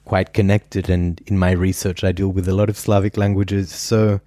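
Man, forties, interview guest; lowish voice, dry close sound, thoughtful and unhurried. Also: little pitch movement, warm tone, dry recording. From Australia. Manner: thoughtful, measured, with many filler pauses. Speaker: historian guest. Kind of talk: interview answers.

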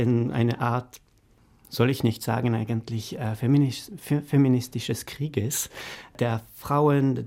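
Slow, halting man from France, dark gravelly voice. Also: medium pitch. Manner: halting, many fillers and restarts. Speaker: interview guest, doctoral researcher. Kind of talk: academic interview.

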